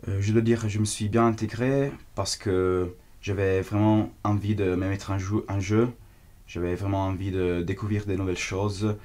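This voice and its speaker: A man's voice: fairly low pitch, steady tone, moderate pace, darkish tone. A young man with an Italian accent, a student giving an earnest testimonial in a language he is still learning. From Italy. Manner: earnest, searching for words in a second language. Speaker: exchange student. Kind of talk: testimonial interview.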